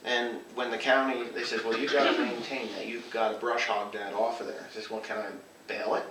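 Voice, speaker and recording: A man who farms, telling rambling, casual stories among friends in a folksy, colloquial and animated way. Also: medium pitch, fairly quick, a crowd in the background. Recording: roomy, distant mic, noisy background.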